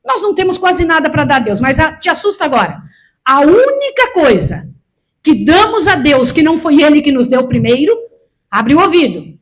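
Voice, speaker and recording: A sixty-year-old Brazilian woman preaching a sermon, emphatic and repetitive, urging her listeners with direct commands. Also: high-pitched. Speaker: preacher, missionary. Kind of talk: sermon to a church congregation.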